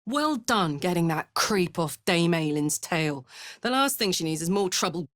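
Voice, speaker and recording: A woman voicing a brash, warm-hearted fantasy warrior in a video game, blunt, informal and expressive. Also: fairly low pitch, normal speed, dry recording, studio quality, lightly acted. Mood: playful, hearty. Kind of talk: video game character voice acting.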